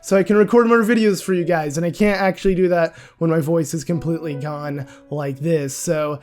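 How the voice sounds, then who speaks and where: A young man hosting a casual gaming discussion vlog. He is sick and his voice is nearly gone, so it comes out hoarse and raspy. He rambles, with many fillers and restarts. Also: on the high side, steady tone, fairly quick, darkish tone, soft background music. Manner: rambling, with frequent "uh" and self-corrections. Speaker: video game channel host.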